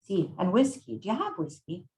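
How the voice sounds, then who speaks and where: A woman's voice: lowish voice, very animated, normal speed, a little mellow. A woman voicing a loving, snarky old Italian shopkeeper who fusses and bosses in an Italian accent, read from a novel. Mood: bossy. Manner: bossy, mothering, exclamatory.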